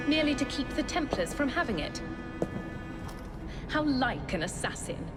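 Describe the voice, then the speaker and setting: An actress playing a power-hungry, scornful villainess in a game, imperious and mocking. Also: female voice, high-pitched, very animated, normal speed. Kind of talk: video game cutscene acting. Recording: loud music behind the voice.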